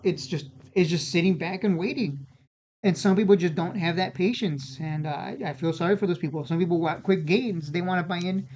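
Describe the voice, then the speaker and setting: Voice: medium pitch, a little mellow. A stock video host giving casual, unscripted market commentary in an informal way, often repeating and restarting phrases.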